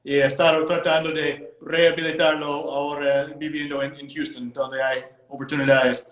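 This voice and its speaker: A man's voice: a little high, little pitch movement, moderate pace, a bit bright, echoey room, poor audio. A man with an American accent and English as his first language, a lawyer explaining immigration law as a guest in a live interview, hesitant and repeating words as he phrases things carefully.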